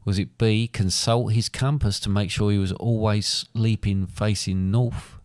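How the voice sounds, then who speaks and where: A man hosts a laid-back quiz on a podcast, reading each question at a slow, measured pace. His voice sits a little low and sounds somewhat worn and hoarse. The recording is dry and close. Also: fairly even intonation, darkish tone, no echo. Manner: slow, dry quizmaster delivery. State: losing his voice, coughing. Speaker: podcast co-host, quizmaster. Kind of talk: casual quiz chat.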